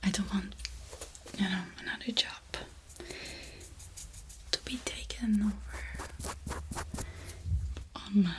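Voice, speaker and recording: A woman speaking in a breathy whisper, gently murmuring through an ASMR personal attention roleplay with soft pauses. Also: mid-range voice, steady tone. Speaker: ASMR creator playing an ear decorator.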